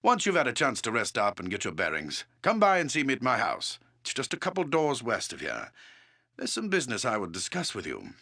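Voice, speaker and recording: A seasoned fantasy game adventurer who leads his town. His delivery is extremely animated, with big swings in pitch and a bright, forceful edge. He speaks in formal, old-fashioned phrases, commanding yet amiable. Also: fairly quick, heavily acted. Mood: hospitable, advising. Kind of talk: fantasy video game character dialogue.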